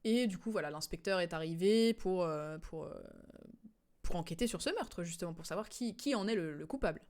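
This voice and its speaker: A woman's voice: fairly low pitch, lively intonation, brisk, a little mellow. A woman streamer giving casual game commentary, explaining the story in a hesitant, digressive way.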